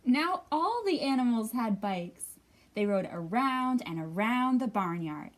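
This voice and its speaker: Woman, children's storytime host, slow, sing-song, drawn-out words, highish voice, slightly distant mic. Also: animated. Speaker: children's librarian hosting storytime. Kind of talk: expressive read-aloud and counting for young children.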